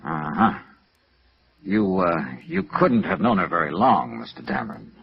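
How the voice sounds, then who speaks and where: An American actor playing a sardonic, probing insurance investigator in 1950s radio noir, clipped and dry, on a vintage recording. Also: male voice, low voice, expressive, unhurried, vocal fry, highs cut off, full character voice.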